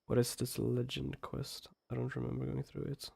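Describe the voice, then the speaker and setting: A man's voice: medium pitch, completely flat delivery, moderate pace, vocal fry, a little mellow, breathy edge, no echo. A man with a Swedish accent chatting casually on a gaming livestream, hesitant and rambling as he thinks aloud. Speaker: live streamer.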